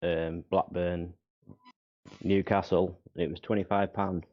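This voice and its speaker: A man joins a football stream as a guest, with a low voice. His audio sounds a little dull and lo-fi. He mostly adds quick remarks to the chat. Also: flat delivery. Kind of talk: casual chat.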